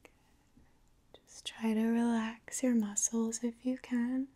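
Very slow, whispery young woman. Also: fairly high pitch, little pitch movement, warm tone. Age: 20s. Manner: whispery, soothing, close-up murmur. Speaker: ASMR performer. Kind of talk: ASMR roleplay, soft slow intimate talk. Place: living room, close to the camera.

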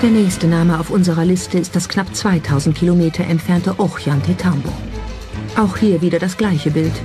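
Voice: mid-range voice, normal speed. A documentary narrator reading a scripted narration. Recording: music under the voice. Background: background music.

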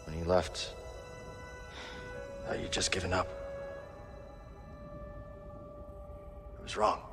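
An actor playing a weary, earnest young Jedi rebel in a video game, speaking seriously. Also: male voice, deep voice, flat delivery, moderate pace, music in the background. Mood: earnest, troubled. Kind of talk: cinematic video game acting. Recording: long hall reverb, game score behind.

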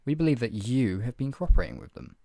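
A man's voice: mid-range voice, animated, fast talker, dark tone, dry recording, natural voice. A man playing a stern, menacing redcoat soldier in a scripted roleplay interrogation, with a clipped, authoritative, condescendingly polite manner. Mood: firm, warning. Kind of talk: ASMR roleplay, one-sided scripted dialogue.

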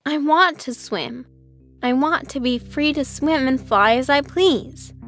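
A woman voicing a lonely, gentle young duckling in a children's cartoon, earnest and plaintive. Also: high voice, slow, no room sound, exaggerated voice. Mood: wistful. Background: music.

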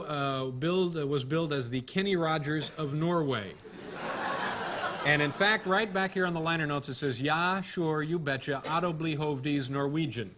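Wry mock-serious talk show host. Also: male voice, fairly high pitch, fairly bright tone, a little hiss or hum. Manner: dry, mock-serious joking. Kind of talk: late-night comedy interview. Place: TV studio stage before an audience. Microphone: desk microphone.

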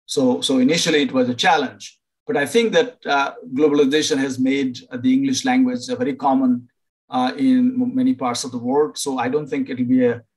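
An older man from Nepal, a professor advising international students over a video call, pausing often to find words. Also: mid-range voice, fairly even intonation, rough recording. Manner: halting, many fillers and restarts. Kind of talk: informal online presentation.

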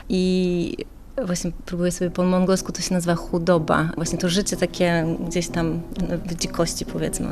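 A woman talking conversationally as a travel guide in a radio interview, chatty and explanatory in long, rambling sentences. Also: on the low side.

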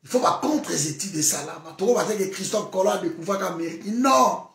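A man's voice: sits high, expressive, a little fast, creaky. Impassioned Congolese man in an echoey room. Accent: Congolese French. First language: Lingala. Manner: switches between French and Lingala, grows heated and shouting. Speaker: political vlogger. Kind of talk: impassioned political monologue to camera. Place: indoor room, seated on a sofa. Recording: echoey room, voice a bit far from the mic.

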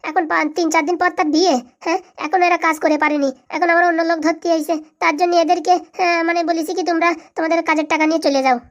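A woman acts out a defensive village mother from a comedy cartoon. The voice is high and races along, brusque and full of self-justification. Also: fairly even intonation, fast, dull audio. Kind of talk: comic cartoon dialogue.

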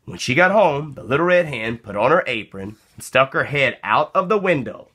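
A man reading a children's story aloud as narrator, with expressive, deliberate phrasing. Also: medium pitch, slightly rough. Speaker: storybook reader.

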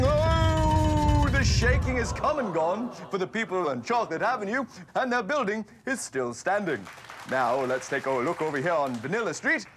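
A man playing a jolly, excitable TV chef, presenting to children in a playful, theatrical, exclamatory way. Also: high voice. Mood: mock alarm. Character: excitable, jolly male TV cooking-show chef. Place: kitchen set, indoors.

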